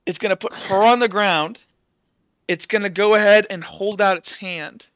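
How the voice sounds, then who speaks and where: A man with a high, crisp voice works as game master, narrating in a casual, stop-and-start way with plenty of swearing. The sound is dry and slightly muffled. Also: fairly bright tone, no room sound. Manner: halting, casual, swears freely. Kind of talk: improvised tabletop game narration.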